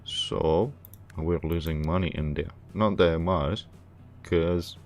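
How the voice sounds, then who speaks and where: A man from Romania hosting a casual gaming livestream, thinking aloud in a halting, hesitant way. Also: deep voice. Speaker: livestream host playing a game.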